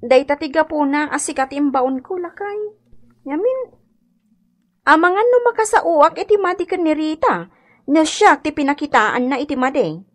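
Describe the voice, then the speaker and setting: A woman's voice: sits high, expressive, moderate pace. A woman acting a kind, helpful young daughter-in-law in a radio comedy drama, sympathetic, with an Ilocano accent. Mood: concerned. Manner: sympathetic, obliging.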